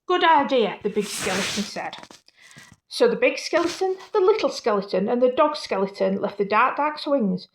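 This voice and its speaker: A woman reading a children's picture book aloud in an animated way, with casual, playful, apologetic asides. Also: a little high, normal speed, background noise. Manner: playful, self-interrupting, apologetic.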